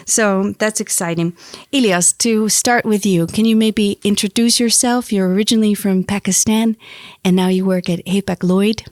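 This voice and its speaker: A woman's voice: medium pitch, dry recording, clean studio sound. A woman hosting a podcast interview, asking questions with eager reactions. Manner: enthusiastic, warm interviewer with reactions like "wow". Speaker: podcast host.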